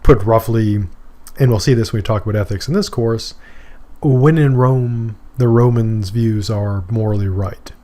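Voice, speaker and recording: Man giving a relaxed philosophy lecture. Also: lowish voice, normal speed. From Maine, USA. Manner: conversational, explains with examples and asides. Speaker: philosophy professor. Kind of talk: recorded course lecture.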